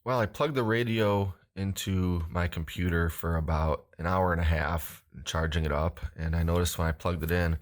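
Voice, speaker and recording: A man's voice: deep voice, fairly even intonation, normal speed, few pauses. A man giving a casual hands-on product review in an offhand, plain-spoken, slightly hesitant way. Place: indoors at a wooden table.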